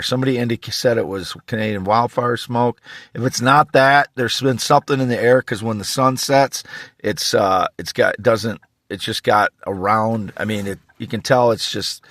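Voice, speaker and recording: A middle-aged man with a slight rasp, giving a halting, repetitive, insistent monologue as he vlogs from a pickup truck. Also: medium pitch, no room sound, studio quality. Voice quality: slightly raspy. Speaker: vlogger. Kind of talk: rambling monologue to camera.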